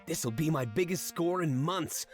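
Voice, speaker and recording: Sly, lively-voiced male rogue. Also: fairly high pitch, measured pace. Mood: flirtatious. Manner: smooth-talking, confiding. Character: sly, flirtatious male animal rebel with a blackmailing streak. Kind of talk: video game character dialogue. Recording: music behind the voice.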